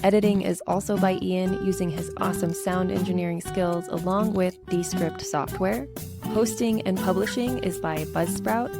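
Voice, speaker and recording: A woman podcast host with a soft, soothing, laid-back way of talking, chatting casually with a guest and reading the show's credits and ads. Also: on the low side, steady tone, normal speed, creaky, loud music behind the voice. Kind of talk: casual chat.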